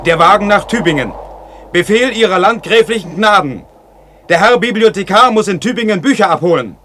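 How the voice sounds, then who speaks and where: A man's voice: sits high, expressive, a little fast, bright, forceful tone, slight background noise. An actor playing a brusque servant barking his lord's orders in a German radio drama. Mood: brusque, commanding.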